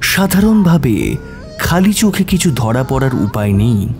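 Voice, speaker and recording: A man's voice: mid-range voice, very animated, unhurried, a little mellow, faint music behind. A man narrating a scripted detective story in a dramatic audio-drama style.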